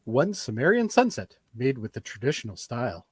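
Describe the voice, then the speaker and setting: A man's voice: mid-range voice, big pitch swings, moderate pace, husky, dry recording, clean studio sound. A man playing a courteous, analytical android officer in an amateur radio drama, speaking in formal, precise phrases. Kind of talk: amateur radio theatre reenactment.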